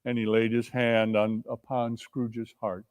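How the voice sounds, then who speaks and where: A man narrating a story as a dramatic reading, in a measured, theatrical manner. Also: mid-range voice, flat delivery, darkish tone, clear, resonant tone. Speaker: narrator and performer. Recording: long reverberant hall echo.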